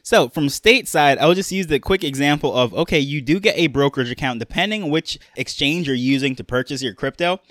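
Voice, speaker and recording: A man's voice: a little high, brisk, hardly any pauses, fairly bright tone, no room sound, studio quality. A young man with a New York accent hosting a casual solo podcast, rambling along with fillers and restarts. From Jamaica, raised in New York. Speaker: podcast host.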